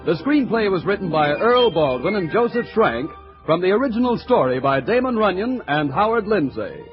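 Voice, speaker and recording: A male announcer in classic 1940s radio sponsor style. His voice is high and swings expressively. Music plays behind him on a dull old recording. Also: very animated, normal speed.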